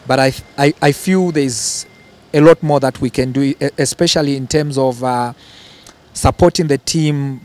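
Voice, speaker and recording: A man's voice: medium pitch, a little mellow. A man answering interview questions, often repeating words as he gathers his thoughts. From Zambia. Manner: hesitant, repeats words, chuckles between phrases.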